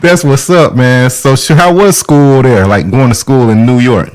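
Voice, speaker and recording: A man's voice: medium pitch, moderate pace. Show host: man, mid-range voice, hyped slangy delivery, crowd-like murmur behind. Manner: hyped, slangy, repeats shout-outs. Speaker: host of a live music interview show. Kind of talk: hype show hosting and casual chat.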